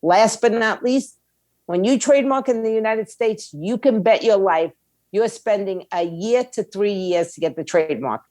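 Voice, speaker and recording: A woman's voice: mid-range voice, normal speed, darkish tone, no echo. A woman speaking as a guest expert in an interview, explaining things in a plain-spoken, emphatic, conversational way. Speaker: guest, music attorney and indie label owner.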